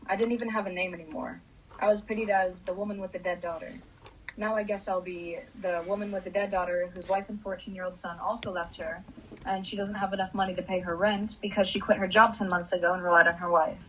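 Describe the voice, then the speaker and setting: A woman's voice: mid-range voice, fairly even intonation, fast talker, a bit bright, slight background noise, close to an everyday voice, poor audio. A woman playing a bitter, desperate grieving mother in an anguished, confessional radio drama performance. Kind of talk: radio drama acting with first-person monologue.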